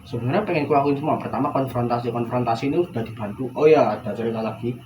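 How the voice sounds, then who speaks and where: A man's voice: mid-range voice, racing, darkish tone, roomy sound. Young male student, noisy recording. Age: young adult. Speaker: university student, counselling client. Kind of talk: casual conversation. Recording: clear background noise, room ambience.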